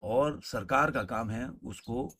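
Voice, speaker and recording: Husky man talking off the cuff. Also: medium pitch, sharp tone. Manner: halting, conversational, repeats and rephrases points. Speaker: commentator giving his opinion. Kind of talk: unscripted opinion talk on current affairs.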